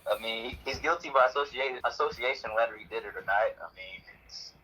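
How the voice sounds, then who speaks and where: A man's voice: medium pitch, fairly quick, bright, forceful tone, a little hiss or hum, rough recording. A man speaking as a guest on a sports show, giving his opinions in casual chat.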